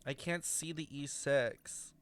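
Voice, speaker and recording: A young man streaming a video game with casual live commentary, expressive, slangy and swearing freely. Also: a little high, slow, smooth.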